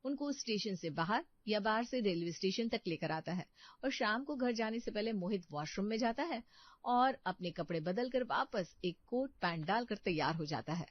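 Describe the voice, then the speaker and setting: A woman's voice: medium pitch, lively intonation, moderate pace, dry recording. Female story narrator, scripted read, close dry booth sound, slightly muffled.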